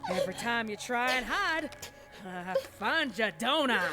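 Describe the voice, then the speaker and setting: A woman's voice: fairly high pitch, expressive, a little slow, loud music behind the voice, voice pushed up. A woman voicing a deranged, paranoid country farm pony with a rural Southern American accent, rambling and stammering with vengeful menace in a horror story reading. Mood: menacing, taunting. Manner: rambling and stammering, snapping into angry shouts. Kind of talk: dramatic horror fan fiction reading.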